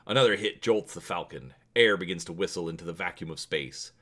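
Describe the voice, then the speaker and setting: A man's voice: deep voice, a little fast, fairly bright tone. A man hosting a story channel and reading an adventure aloud in a dramatic storytelling style. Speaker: host and narrator of a story channel.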